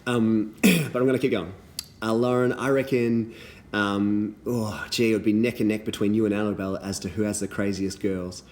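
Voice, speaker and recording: Man, deep voice, a bit hoarse; earnest, halting thank-yous full of ums. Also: steady tone. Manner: warm, earnest encouragement with many ums and restarts. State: slightly hoarse, losing his voice. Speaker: youth ministry leader. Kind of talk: recorded personal thank-you messages.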